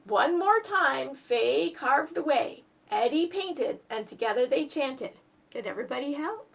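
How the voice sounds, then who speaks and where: Woman, high voice, children's storytime reader, expressive, step-by-step explaining, speaks straight to young listeners. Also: measured pace, gravelly. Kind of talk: animated storybook reading and craft instruction for children.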